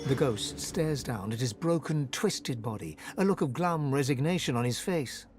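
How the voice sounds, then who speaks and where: An actor narrating a dark fantasy video game in a solemn, vivid storytelling style. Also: male voice, medium pitch, normal speed, music in the background, natural voice. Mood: solemn, dramatic. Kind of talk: scripted second-person game narration.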